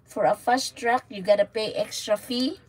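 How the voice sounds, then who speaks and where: A woman's voice: fairly high pitch, fairly even intonation, moderate pace, husky, poor audio. A woman in her forties with a Filipino accent, chatting casually and giggling on a live stream while dyeing her hair, speaking into a phone held at arm's length in a small room. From Philippines. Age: 40s. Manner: giggly, chatty, mixing in Tagalog words. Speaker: channel host. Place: indoors in a small RV.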